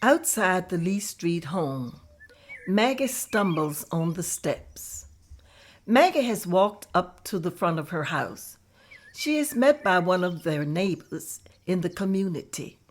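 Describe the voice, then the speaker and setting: Narrator: woman, low and steady, reading scene directions. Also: slow, slight background noise.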